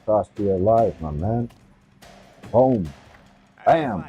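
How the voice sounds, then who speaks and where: A man's voice: on the low side, lively intonation, normal speed, dull audio, faint music behind. A man giving casual, slangy, profane commentary as he streams a video game, with game sound behind him. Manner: slangy, profane, says "bro" often.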